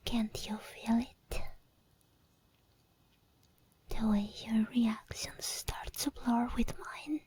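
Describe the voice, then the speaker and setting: A woman voicing a possessive, seductive sleep paralysis demon in a breathy whispered ASMR roleplay, slow and intimate. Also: on the high side, steady tone, a little mellow. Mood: possessive, teasing. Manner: slow, intimate, lingering delivery.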